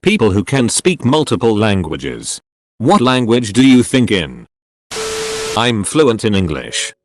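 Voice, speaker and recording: A man reading online forum posts aloud, one after another, in a matter-of-fact way with short pauses between them. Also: fairly low pitch, wildly swooping pitch, background noise.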